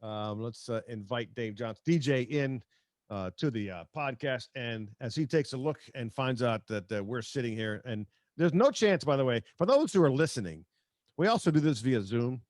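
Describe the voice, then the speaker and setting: Guy hosting a sports pod, chatty n slangy, mid pitch, real dry sound. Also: animated, normal speed, a little mellow, no room sound. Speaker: podcast host. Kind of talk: casual sports chat. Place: remote video call.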